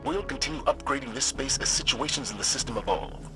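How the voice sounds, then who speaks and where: A man playing a composed, authoritative military commander in a video game, giving a formal, measured briefing. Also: medium pitch, lively intonation, vocal fry. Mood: formal, welcoming. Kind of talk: video game cutscene acting. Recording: loud music behind the voice.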